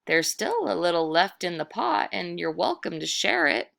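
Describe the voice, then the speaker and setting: Woman as plucky, high-voiced young boy. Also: sing-song, moderate pace, vocal fry, fairly bright tone, full character voice. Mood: unfazed, polite. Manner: plain-spoken, folksy, unfazed. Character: plucky, polite, unafraid young boy Thomas. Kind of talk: children's storybook character voice.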